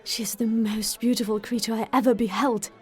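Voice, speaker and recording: Woman reading as a haughty, aloof young gentleman. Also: fairly high pitch, little pitch movement, fairly quick, soft background music, close to an everyday voice. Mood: cold, disdainful. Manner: cold, clipped, haughty. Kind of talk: audiobook character voice.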